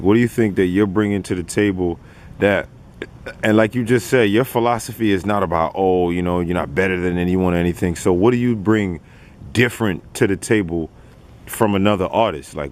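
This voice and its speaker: A man's voice: sits low, a little mellow, slight background noise. A man interviewing a rapper, asking long rambling questions full of "like" and "you know". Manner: rambling, repeated "like" while asking. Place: outdoors in a city neighborhood.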